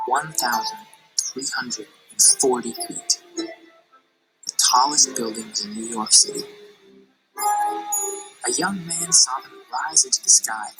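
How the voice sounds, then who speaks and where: A woman teacher reading a picture book aloud in an expressive storytelling voice, slowing down on dramatic phrases. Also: very deep voice, poor audio. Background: music.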